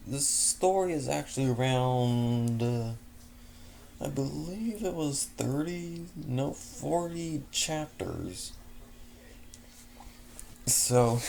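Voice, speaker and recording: Brief: male fanfic reader, gravelly, mid-pitched, stumbles and restarts while reading. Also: a little mellow, a little hiss or hum. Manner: halting, restarts words often. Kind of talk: reading a story aloud with a casual outro.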